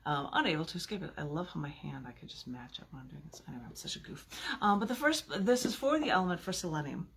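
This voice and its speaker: A woman's voice: deep voice, big pitch swings, fast talker, gravelly, husky. A woman reading her own poetry aloud in an informal, theatrical and emphatic way, with playful, chatty asides.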